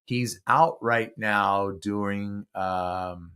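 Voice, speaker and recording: A man's voice: lowish voice, fairly even intonation, very slow, bright, forceful tone, smooth. A man hosting a casual podcast, chatty and enthusiastic as a music fan. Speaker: podcast host.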